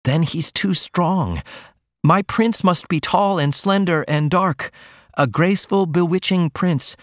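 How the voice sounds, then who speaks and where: A man's voice: sits high, slow pace, dry recording, clean studio sound, voice pushed up. A man voicing an earnest, innocent young woman who confides in her mother in an audiobook reading. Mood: earnest confiding.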